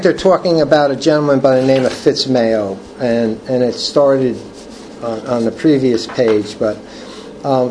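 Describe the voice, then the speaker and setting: An older man leading a recovery study group, reading from the book and sharing personal testimony in a halting, earnest way with many restarts. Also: medium pitch, a little mellow, slight background noise, rough recording. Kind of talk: casual talk reading from a book.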